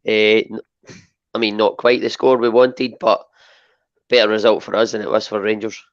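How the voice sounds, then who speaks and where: A hoarse Scottish man co-hosting a podcast, relaxed and talking with lots of fillers. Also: lowish voice, moderate pace, dry recording, highs cut off. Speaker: podcast co-host. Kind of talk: casual podcast chat.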